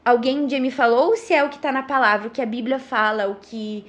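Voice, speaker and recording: A Brazilian woman of thirty speaks in a distinctly high voice. She explains things as a podcast guest in a casual, earnest way, halting now and then and tagging her phrases for agreement. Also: fairly even intonation, a bit bright.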